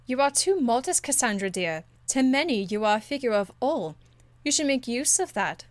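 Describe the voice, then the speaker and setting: A woman's voice: fairly high pitch, very animated, moderate pace, dry recording. A woman voicing a proud, elegant, condescending court enchantress in a video game, with polished, haughty phrasing. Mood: amused, superior. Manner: haughty, polished, drawling endearments. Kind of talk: video game dialogue acting.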